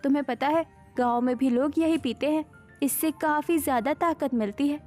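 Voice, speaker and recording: A woman playing a young daughter-in-law in an animated family story, with expressive domestic melodrama. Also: high voice, normal speed, faint music behind, natural voice. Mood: complaining, chiding.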